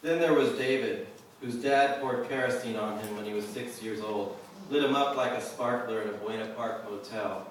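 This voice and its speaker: A man reading his own poetry at a live event, measured and deliberate in the poems and offhand in between. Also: medium pitch. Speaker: poet reading own work. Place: live reading venue, indoors. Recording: roomy echo, distant mic, faint noise.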